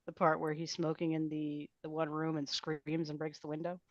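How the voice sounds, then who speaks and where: Dull-sounding recording of a lower-voiced woman. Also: flat delivery, moderate pace, dry recording. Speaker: table read participant. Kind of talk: casual group chat.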